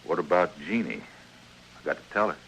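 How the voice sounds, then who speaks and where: An actor playing an accused frontier blacksmith in an old radio western, earnest and anguished, with plain rustic speech. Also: male voice, low voice, a little fast, a bit bright. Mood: downcast, pleading. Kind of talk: old-time radio western drama acting. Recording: noisy old radio drama recording.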